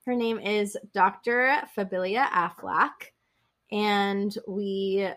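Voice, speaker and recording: A woman's voice: mid-range voice, steady tone, slow, fairly bright tone. A woman hosting a podcast, upbeat and welcoming as she introduces her guest. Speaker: podcast host.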